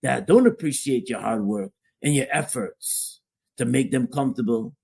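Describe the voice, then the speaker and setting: Husky man talking it through slowly. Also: mid-range voice, a little mellow. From Guyana (family). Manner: repetitive, restarts and repeats phrases for emphasis. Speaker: podcast co-host. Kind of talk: casual opinion talk.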